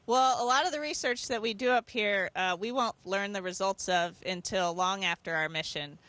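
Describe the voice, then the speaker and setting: A woman's voice: mid-range voice, moderate pace, fairly bright tone, no echo. A woman astronaut speaking from aboard a space station, giving thoughtful, earnest, explanatory answers in a conversational interview.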